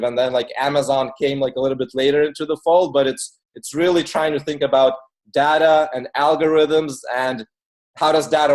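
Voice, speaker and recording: A man originally from Ukraine who moved to the US as a child, talking casually and informally as an interview guest. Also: medium pitch. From born in Ukraine, moved to the US at eight. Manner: informal, filler-heavy with frequent "like". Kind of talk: casual conversational storytelling.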